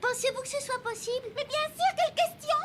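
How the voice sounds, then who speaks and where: A woman's voice: extremely high pitch, fairly quick, a bit bright, a little hiss or hum. A woman voicing an earnest, polite little boy in a dubbed cartoon, childlike and eager. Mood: earnest, questioning.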